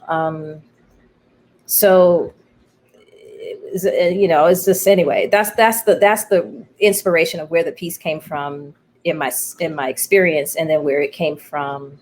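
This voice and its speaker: A woman playwright speaking as an interview guest, telling her story in a candid, thoughtful, halting way. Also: deep voice, darkish tone, a little hiss or hum. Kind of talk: reflective conversational storytelling.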